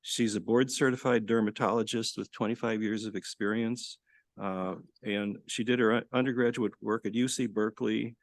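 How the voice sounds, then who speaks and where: A man introducing a guest speaker at a live lecture, halting with many fillers and restarts. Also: on the low side, fairly even intonation, no echo. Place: lecture hall with live audience. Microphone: podium microphone.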